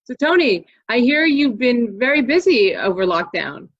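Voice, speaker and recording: A woman with a fairly high voice hosts a casual community interview in an encouraging, enthusiastic way. Her audio comes through a little dull and lo-fi, as on a video call. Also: big pitch swings, moderate pace. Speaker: host. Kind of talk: casual interview, chatty introductions.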